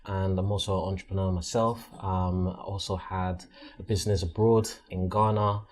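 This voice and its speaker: A man's voice: low voice, level tone, moderate pace. A man with a London accent chatting informally as a podcast guest, a little hesitant in his phrasing. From London, England. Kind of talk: casual interview chat.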